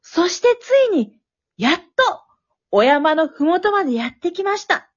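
A woman playing an excitable, wisecracking storyteller, narrating a comic radio drama like play-by-play with dramatic flair. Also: very high-pitched, normal speed. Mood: wry, exasperated. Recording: dull, lo-fi recording.

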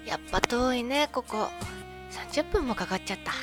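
A woman acting a loving but jealous, suspicious young wife in an amateur radio drama, expressive and often talking to herself. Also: on the high side, moderate pace, natural voice. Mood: cheerful. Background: music.

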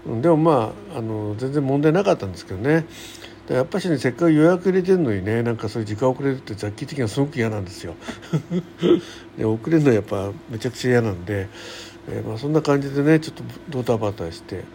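Middle aged guy doing a rambly solo radio talk, soft mellow voice, bit of noise behind him. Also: mid-range voice, animated, quick pace. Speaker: radio show host. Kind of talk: casual solo chat, rambling daily-life anecdotes. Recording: faint background noise.

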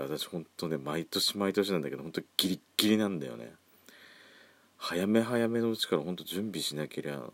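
A man's voice: low voice, dry recording. A man around forty hosting a casual solo podcast, chatting with campy, feminine phrasing. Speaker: podcast host. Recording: faint background music.

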